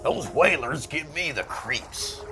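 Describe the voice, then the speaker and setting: A man's voice: on the high side, lively intonation, slow pace, vocal fry, noisy background, exaggerated voice. A man playing a jittery, exasperated, hard-drinking character in an afterlife audio drama, speaking dramatically. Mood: weary, grudging.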